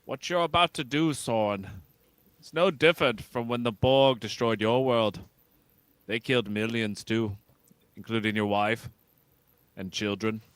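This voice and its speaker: A man's voice: mid-range voice, measured pace, long pauses, clear, resonant tone, dry recording, close to an everyday voice. Guy playing principled space captain, earnest and commanding, mid voice, dry mic, radio drama. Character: resolute, principled male starship captain. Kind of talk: amateur radio theatre script read.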